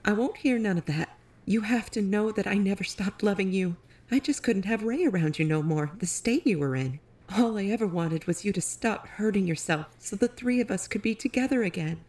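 Female actor as devoted, firm mother and wife: medium pitch, folksy, plain-spoken. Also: expressive, brisk, darkish tone, close to an everyday voice. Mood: tender, firm. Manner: folksy, colloquial. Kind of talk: audio drama acting.

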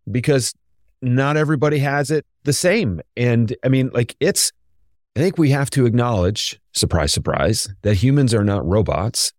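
A middle-aged man co-hosting a podcast, his voice raspy today, reflecting in casual chat and searching for words. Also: medium pitch, darkish tone, no room sound, studio quality. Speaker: podcast co-host.

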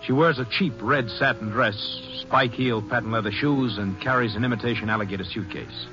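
A man acting as the sober, factual narrator of a police case in a scripted 1950s radio drama, setting the scene in a measured way. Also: medium pitch, lightly acted. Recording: dull old radio recording. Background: music.

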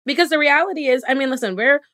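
A woman's voice: high voice, fast, sharp tone. A woman from the Bahamas with a Bahamian accent, speaking as an interview guest in an emphatic, outspoken way. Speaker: lawyer and political commentator.

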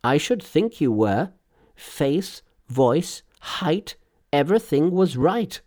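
Man doing an animated, pleading old village father. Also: a little high, slow, pitched above the performer's own voice. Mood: amazed, agreeing. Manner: pleading, deferential, emphatic. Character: earnest, humble old village father, emotional. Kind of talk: storybook character voice.

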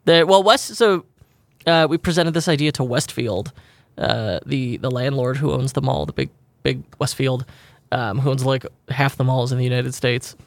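A man's voice: medium pitch, normal speed, gravelly, no echo. A man co-hosting a podcast in casual chat, rambling and laughing often as he corrects himself. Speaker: podcast co-host.

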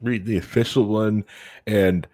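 A man's voice: lowish voice, steady tone, a little slow, a little mellow. A man chatting casually as a podcast co-host. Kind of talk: casual chat.

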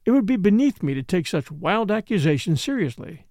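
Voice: medium pitch, sing-song, fairly quick, a little mellow, dry recording, studio quality. A reader voicing a suave, shrewd French count in an audiobook story, languid, polite and ironic. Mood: languid, dismissive.

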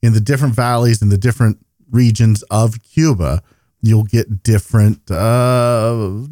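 A man's voice: on the low side, measured pace, warm tone, dry recording, studio quality. A man hosting a podcast in a casual conversational style, asking questions and often rephrasing them as he goes. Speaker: podcast host.

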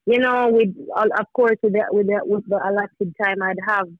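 A woman's voice: medium pitch, steady tone, moderate pace, dry recording, narrow, tinny audio. A young woman musician talking casually as a podcast guest, full of fillers and restarts. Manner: rambling, filler-heavy, many restarts.

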